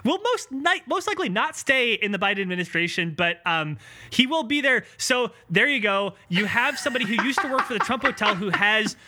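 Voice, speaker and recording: A man with a high-pitched voice co-hosting a podcast, giving casual, emphatic political commentary. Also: lively intonation, brisk, sharp tone. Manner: emphatic, opinionated. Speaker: podcast co-host.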